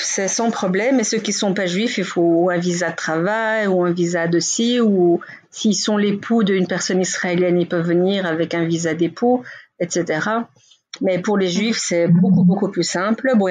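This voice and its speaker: Husky belgian-born woman in her 40s, chatty and frank, lots of ums. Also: mid-range voice, darkish tone. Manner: chatty and frank, with many fillers. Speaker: guest. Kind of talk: casual interview talk.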